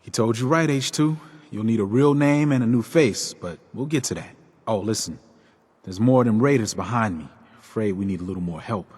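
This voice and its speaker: A man playing a friendly, chatty safe-house agent in a video game, breezy and informal, with a slightly husky voice. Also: lowish voice, fairly quick, a little mellow, big-room sound. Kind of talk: video game character dialogue.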